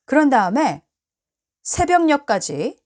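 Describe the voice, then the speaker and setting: A woman reading a children's storybook aloud in an expressive, deliberate way. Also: high voice. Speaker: storybook reader.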